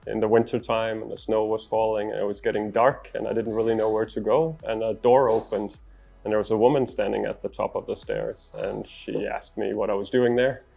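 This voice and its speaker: A man's voice: on the low side, flat delivery, fairly quick, vocal fry, mellow, husky, lo-fi sound. Danish-accented man with music behind him. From Denmark. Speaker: interviewed traveller and adventurer. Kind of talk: interview answers, storytelling.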